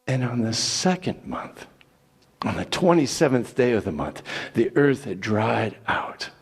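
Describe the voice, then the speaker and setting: Slow theatrical male scripture reader, echoing hall. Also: mid-range voice, big pitch swings, husky. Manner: theatrical, declamatory, deliberate phrasing. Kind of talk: dramatic Bible reading, storytelling narration. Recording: long echoing hall reverb.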